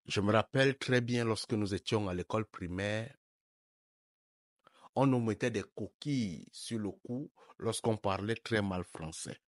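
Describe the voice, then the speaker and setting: A man's voice: lowish voice, a little slow, no room sound. Emphatic man, dry sound. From Africa. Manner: emphatic, preaching, full of rhetorical questions. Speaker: life coach. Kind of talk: opinion monologue to camera.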